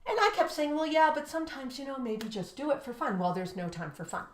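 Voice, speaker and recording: Woman, American accent, high voice, emphatic storytelling, teacher giving advice, echoey room. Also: very animated, quick pace. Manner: emphatic, acts out dialogue. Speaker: teacher co-hosting an education show.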